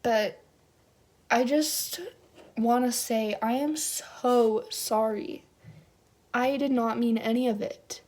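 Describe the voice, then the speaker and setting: A young woman on a fake-sincere apology, drawn out and unhurried. Her voice sits a little high and sounds overly earnest and mock-tearful. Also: steady tone, darkish tone. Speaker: youtuber. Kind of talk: parody apology video.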